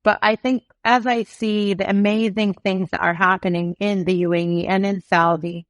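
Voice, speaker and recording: A middle-aged woman, raised in the UK, speaking as a company co-founder and guest in a casual interview. She talks with animated confidence, drawing on vivid examples. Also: medium pitch, moderate pace, no echo, highs cut off.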